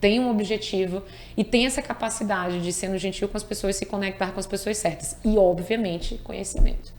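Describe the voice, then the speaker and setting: A woman's voice: mid-range voice, steady tone, brisk, a little mellow, slight background noise. A woman, a tax lawyer talking earnestly in an interview and repeating key words for emphasis. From Piauí, Brazil. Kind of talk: conversational interview.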